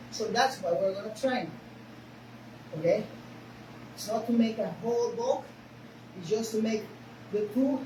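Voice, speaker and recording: Woman, Spanish accent, karate instructor, short broken phrases, echoey training hall, noisy far-off recording. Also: on the high side. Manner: short broken phrases, often checks in with okay. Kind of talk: teaching a class.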